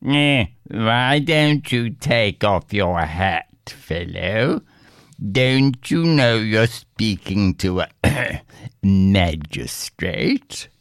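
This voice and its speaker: A man's voice: on the low side, animated, fairly bright tone, no room sound, clean studio sound, exaggerated voice. A man voicing a haughty, menacing land agent and magistrate in a storybook tale, pompous and threatening. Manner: pompous, drawn-out threatening delivery.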